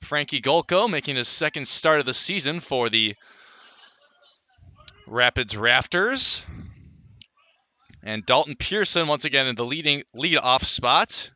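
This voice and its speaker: Bright, punchy male baseball announcer. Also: medium pitch, lively intonation, a little slow, pauses often, no room sound. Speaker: radio play-by-play baseball announcer. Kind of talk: live sports play-by-play commentary.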